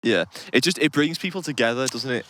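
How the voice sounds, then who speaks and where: A man's voice: medium pitch, a little fast, bright, forceful tone, slight background noise. A young man co-hosting a podcast in casual chat, rambling, laughing often and swearing freely. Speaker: podcast co-host.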